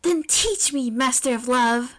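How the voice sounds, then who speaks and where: A woman's voice: sits high, a little slow, fairly bright tone. A woman voicing an eager, blunt teenage girl inventor with an earnest, matter-of-fact manner.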